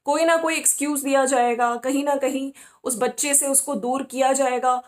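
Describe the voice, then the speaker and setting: A woman's voice: high voice, steady tone, normal speed. A woman giving informal, opinionated commentary as a vlog host, often checking in with listeners using little tag words.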